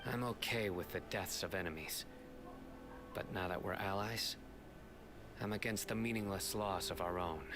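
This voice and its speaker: An actor playing a stern, principled law enforcer in a video game, measured, formal and serious, with background noise. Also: male voice, low-pitched, music in the background, natural voice. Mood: grave. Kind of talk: video game character acting.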